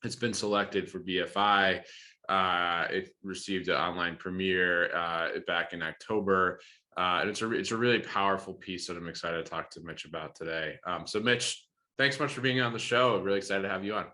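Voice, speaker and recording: Man, podcast host, very deep, bright and forceful tone, enthusiastic, halting with fillers, remote call. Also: fairly even intonation. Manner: enthusiastic, many fillers and restarts. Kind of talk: interview podcast.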